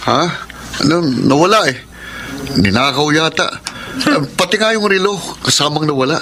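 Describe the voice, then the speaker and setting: A man's voice: fairly high pitch, lively intonation, moderate pace. An actor playing a young gambler who breaks his promises and answers defensively, in a radio drama. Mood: pleading. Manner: defensive, pleading. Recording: hissy old radio recording.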